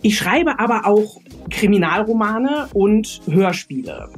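A woman's voice: medium pitch, very animated, a little slow, soft background music. A woman talking conversationally as an interview guest. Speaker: guest author and screenwriter.

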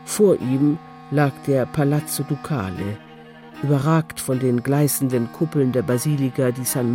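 A man narrating a radio play in scripted, literary prose. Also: medium pitch. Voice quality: dark, mellow. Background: faint music.

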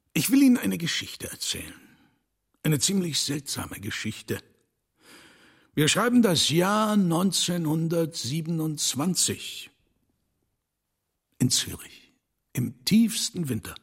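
Gravelly, enigmatic rich older man. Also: fairly high pitch, exaggerated voice. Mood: haunted, storytelling. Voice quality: gravelly, husky. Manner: deliberate, theatrical, dramatic pauses, drops to hushed tones. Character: enigmatic, commanding rich older man haunted by his past. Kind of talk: dubbed film drama acting.

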